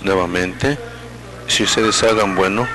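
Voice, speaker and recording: A man with a very low voice works as a simultaneous interpreter at a public meeting. His delivery comes in halting fragments, and he often corrects himself mid-phrase. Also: poor audio.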